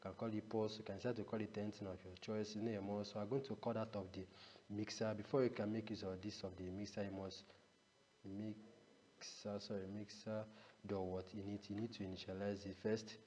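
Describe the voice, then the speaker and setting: A man's voice: fairly low pitch, little pitch movement, a little fast, warm tone. A man giving an unscripted step-by-step screencast tutorial as an instructor, often repeating himself and punctuating explanations with a rhetorical "what". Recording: echoey reverberant room, noisy background.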